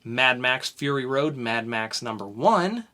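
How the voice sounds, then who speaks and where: A man's voice: mid-range voice, lively intonation, measured pace, sharp tone. A man co-hosting a casual comics podcast, chatting in an upbeat way as he runs through a list of upcoming releases. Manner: listing items in a chatty, upbeat way. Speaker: podcast co-host.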